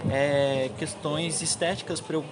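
A man's voice: a little high, fairly even intonation, unhurried. A young Brazilian man, a university student, chatting casually as he answers an interviewer. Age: young adult. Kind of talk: casual chat. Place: busy university congress. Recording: loud crowd noise at event.

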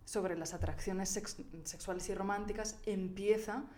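A woman's voice: medium pitch, fairly even intonation, unhurried, dark tone. A woman, co-hosting a casual podcast chat. She explains research as she thinks aloud, with many fillers and restarts. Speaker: podcast co-host.

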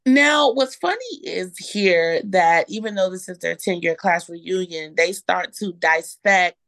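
A woman in her thirties co-hosting a casual podcast, chatty and animated, swearing now and then. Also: lowish voice, a little slow, no room sound. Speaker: podcast co-host. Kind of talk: casual chat.

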